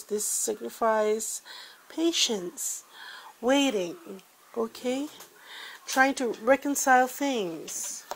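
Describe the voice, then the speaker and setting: A woman's voice: fairly high pitch, fairly bright tone, low-quality recording. A woman with a congested voice, giving a chatty weekly tarot reading with many fillers. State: congested from a cold. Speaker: tarot reader.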